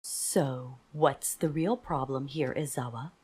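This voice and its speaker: A woman playing a stern, commanding military leader in an anime audio drama, with a husky voice. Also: very deep voice, takes it slowly, darkish tone, full character voice. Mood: stern, impatient.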